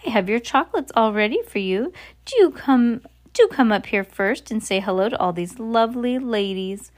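A woman's voice: high-pitched, expressive, slow, voice pushed up. A woman voicing a cruel, theatrical witch leader who draws out her announcements in storybook reading. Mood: sugary.

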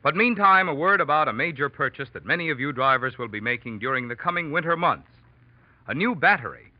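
A man's voice: a little high, animated, a little fast, no room sound. A man working as a radio announcer, reading in the style of a 1940s commercial. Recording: dry, dull vintage radio recording.

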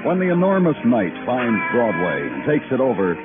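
An actor playing a brooding, world-weary police detective in 1950s radio noir style, with a dramatic, lyrical delivery. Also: male voice, medium pitch, wildly swooping pitch, normal speed. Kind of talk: 1950s radio noir acting and poetic narration. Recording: muffled old radio broadcast with music.

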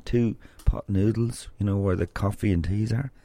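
An Irish man with a very low, dark, mellow voice, talking in a loose, rambling, chatty way. He sounds like a podcast host telling stories. The sound is dry and close, with no room around it. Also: fairly even intonation, moderate pace, no echo. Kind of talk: casual rambling monologue and interview.

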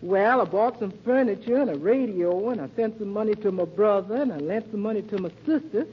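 An actress playing a good-natured, plain-spoken American housekeeper, folksy and earnest, in 1940s radio comedy style. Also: female voice, mid-range voice, brisk, slightly rough, natural voice. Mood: earnest, bothered. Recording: muffled old radio recording.

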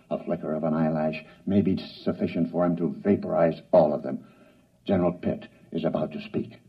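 An actor playing a grave radio announcer, delivering formal, dramatic bulletins in an old-time radio drama. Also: male voice, rumbling low, heavily acted. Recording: dull, rough vintage radio recording.

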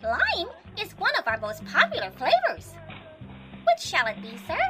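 An extremely high, squeaky waitress for a comic audio drama. She is deferential yet stubbornly contrary. Her pitch leaps wildly, over a music bed. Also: huge pitch swings, moderate pace, heavily acted. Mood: polite, obstinate. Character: chirpy, polite, stubborn squeaky female waitress.

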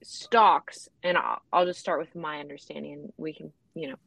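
A woman's voice: sits low, steady tone, moderate pace, vocal fry, fairly bright tone, no echo. A woman hosting an interview, asking casual, hesitant questions. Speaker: interview host.

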